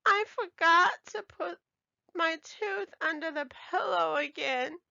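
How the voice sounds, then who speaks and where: A woman voicing an excitable, emphatic little girl who is a daughter in a children's storybook. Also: very high-pitched, slow pace, creaky, dry recording, highs cut off, voice pushed up, exaggerated voice. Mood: upset.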